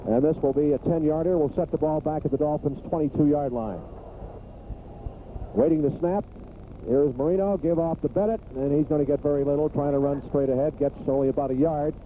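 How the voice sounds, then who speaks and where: A high-voiced American man delivers live football play-by-play in short, clipped phrases that build into excited calls. The sound is a tinny 1980s radio broadcast with clear noise behind him. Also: fairly quick.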